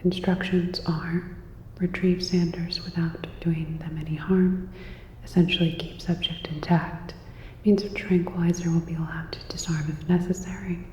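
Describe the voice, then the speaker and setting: A woman whispering a scripted sci-fi ASMR roleplay as a calm, clinical robot repair technician, soft, breathy and gently instructive. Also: lowish voice, moderate pace, roomy sound, big-room sound, dull audio, slight background noise.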